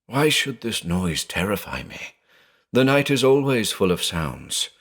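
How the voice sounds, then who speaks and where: A man's voice: on the low side, very animated, measured pace, breathy edge, exaggerated voice. A man voicing a terrified blind man alone at night, frantic and pleading, breaking into shrieks. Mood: uneasy, reasoning.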